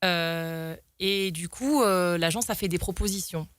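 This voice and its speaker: A woman's voice: on the low side, a little slow, a bit bright, clear, resonant tone, no echo, clean studio sound. A young woman guest telling stories in a talk show, rambling with many fillers and restarts. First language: French. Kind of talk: casual storytelling in an interview.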